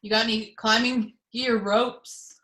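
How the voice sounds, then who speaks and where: A woman's voice: fairly high pitch, steady tone, a little slow, fairly bright tone, echoey room, poor audio. A woman reading the part of an earnest, level-headed young man in an amateur horror screenplay table read. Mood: focused.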